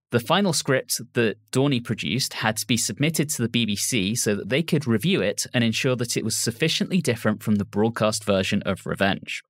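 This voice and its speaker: A man's voice: lowish voice, no echo, studio quality. A man hosting a podcast, presenting prepared background notes and leading the discussion. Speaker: podcast host. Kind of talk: scripted podcast introduction and discussion.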